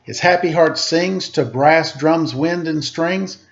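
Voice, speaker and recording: A man reading aloud to children as a storyteller, with theatrical, drawn-out emphasis and casual asides. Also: on the high side, creaky. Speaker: storybook reader, teacher. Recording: muffled lo-fi recording.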